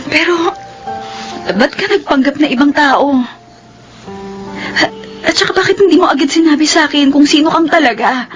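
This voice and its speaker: A woman's voice: sits high, moderate pace, pauses often, natural voice. A woman with a Filipino accent acting an emotional, shy young bride-to-be in a romantic drama, with a hesitant, pouting manner. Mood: shocked, tearful. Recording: music bed under dialogue.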